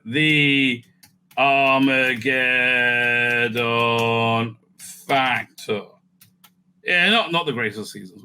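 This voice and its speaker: A man hosting a casual, off-the-cuff ranking commentary, animated and thinking aloud with frequent asides. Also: mid-range voice. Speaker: host ranking TV serials.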